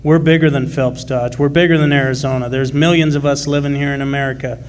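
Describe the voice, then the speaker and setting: A man's voice: mid-range voice, fairly even intonation, fast, lightly acted. A man playing an earnest, plainspoken working-class miner and father in a live radio drama. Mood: steady, earnest. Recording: faint noise, live stage recording.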